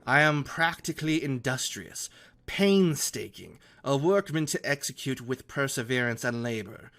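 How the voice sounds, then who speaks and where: A man reading a classic novel aloud as an earnest, romantic gentleman explorer, in formal literary phrasing. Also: medium pitch. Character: earnest, romantic young gentleman explorer writing letters home.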